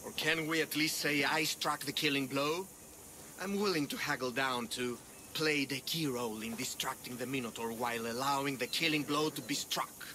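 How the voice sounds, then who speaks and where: An actor playing a vain, glory-seeking younger brother warrior who haggles eagerly. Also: male voice, mid-range voice, moderate pace, sharp tone, slight background noise, natural voice.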